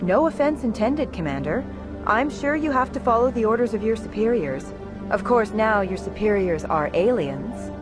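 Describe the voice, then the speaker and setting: A woman's voice: fairly high pitch, animated, normal speed, close to an everyday voice. Polished, pushy female news reporter actress over music. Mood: probing. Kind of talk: video game acting as news interview.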